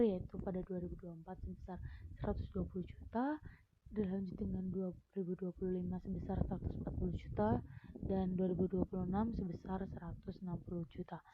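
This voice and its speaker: A young woman gives a student presentation, explaining step by step. She pauses mid-phrase as she goes. The sound is thin and phone-like, with clear background noise. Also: lowish voice. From Indonesia. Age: young adult. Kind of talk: class presentation, explaining worked problems.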